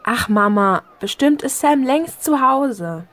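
An unruffled teenage girl in an audio drama, a slightly exasperated big sister with a fairly high voice. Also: animated, slow, fairly bright tone, exaggerated voice. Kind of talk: German audio drama acting.

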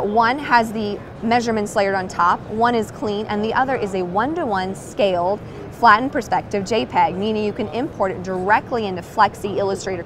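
A woman in her thirties giving an upbeat product demonstration at a trade show booth on a lapel mic. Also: mid-range voice. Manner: upbeat, clear sales presentation. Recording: trade show floor noise behind.

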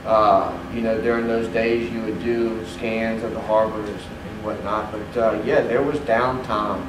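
A man's voice: on the low side, flat delivery, a little slow, distant mic, background noise. A man on a seafood-industry panel, talking in loose, filler-heavy sentences. He sounds set back from the microphone. The hotel meeting room echoes, and an air conditioner hums behind him. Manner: rambling with many "you know" fillers. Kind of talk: panel Q&A answer. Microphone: distant room mic.

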